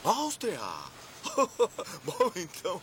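A man's voice: sits high, big pitch swings, very slow, background noise, heavily acted. A goofy naive young man. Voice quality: hesitant, stammering with fillers. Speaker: dub actor. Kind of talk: Brazilian Portuguese film dub.

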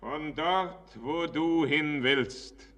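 An actor playing a mysterious, commanding old miner in a radio drama, speaking in a cryptic, imperious manner. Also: male voice, fairly high pitch, very slow, a bit bright. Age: older. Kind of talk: verse radio drama acting.

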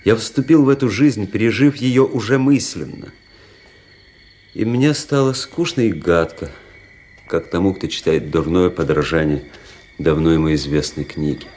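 An actor playing a weary, cynical young army officer who narrates a radio drama in a measured, introspective way. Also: male voice, sits low, a little mellow. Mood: brooding, weary. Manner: introspective, world-weary, measured literary delivery. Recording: music under the voice.

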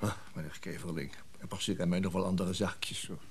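An actor playing a discreet, formal financial go-between, hesitant and polite, in a naturalistic radio drama. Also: male voice, low voice, fairly even intonation, a little fast, breathy edge. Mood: obliging, reassuring.

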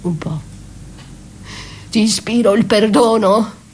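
An actress playing a wounded, betrayed wife in a theatrical monologue, bitter and defiant, with halting, intense delivery. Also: female voice, mid-range voice, a little mellow. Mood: resigned, grave. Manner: dramatic, halting, intense, dropping to breathy whispers. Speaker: stage actress performing a solo.

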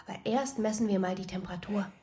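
An actress playing a practical, caring but exasperated farm niece in a naturalistic radio drama, dry and matter-of-fact. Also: female voice, medium pitch, quick pace, mellow, a little hiss or hum, lightly acted. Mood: brisk, exasperated.

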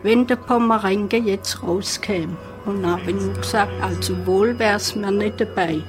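A woman's voice: medium pitch, unhurried. An older woman reminiscing in an interview. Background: clear music.

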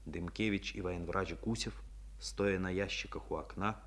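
An actor giving sombre scripted narration for a 1950s Soviet radio play about war. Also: male voice, deep voice, steady tone, normal speed, a bit bright.

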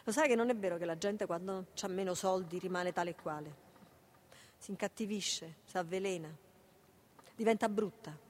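A woman's voice: medium pitch, normal speed, close to an everyday voice. A woman with a Neapolitan accent playing a poor, proud, anxious mother in a naturalistic radio drama, agitated and repeating herself in colloquial speech. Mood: bitter, self-pitying. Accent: southern Italian, Neapolitan.

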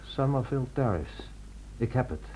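An actor playing an earnest, soothing crisis-line helper in a radio drama, calm and reassuring. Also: male voice, medium pitch, normal speed, a little hiss or hum, close to an everyday voice, rough recording. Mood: soothing, hurried.

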